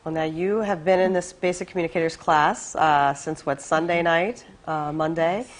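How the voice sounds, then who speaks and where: A woman's voice: low-pitched, steady tone, normal speed. Upbeat woman hosting a studio show. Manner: upbeat, inviting viewer comments. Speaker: show host. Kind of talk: live-stream studio interview.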